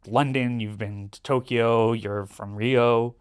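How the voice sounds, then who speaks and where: A man from Brooklyn, New York, hosting a podcast interview, asking leading questions and often checking in with a quick "right?". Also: mid-range voice, steady tone, smooth. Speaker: podcast host. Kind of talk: casual interview.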